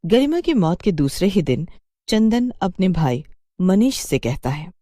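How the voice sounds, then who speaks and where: A woman's voice: on the low side, big pitch swings, a little slow, warm tone, dry recording, clean studio sound. A woman storyteller reading a scripted story in a dramatized narration style.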